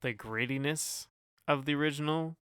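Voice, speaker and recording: A man's voice: fairly high pitch, normal speed, a bit bright, clean tone, no room sound, clean studio sound. A man co-hosting a podcast in casual chat, wry and playful, with hesitant phrasing. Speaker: podcast co-host.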